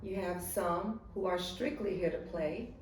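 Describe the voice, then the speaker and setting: A woman's voice: lowish voice, a little slow. A woman with a Southern American accent hosting a casual conversation, talking emphatically and often checking for agreement. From United States. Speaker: podcast host. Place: room in Dubai. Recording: echoey room, distant mic, faint noise.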